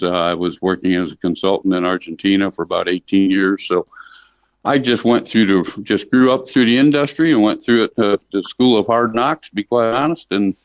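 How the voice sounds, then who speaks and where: An older American man from Michigan talking conversationally as an interview guest, plain-spoken and folksy, often repeating words as he goes. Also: fairly low pitch. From Michigan, United States. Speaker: interview guest, livestock association chief executive. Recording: dull lo-fi line in a roomy space.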